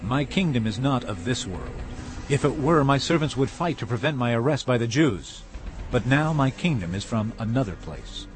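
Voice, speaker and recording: An actor playing a solemn, resolute prophet on trial in a scripture audio drama, earnest and measured. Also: male voice, mid-range voice, expressive, close to an everyday voice. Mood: calm challenge. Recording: music behind the voice.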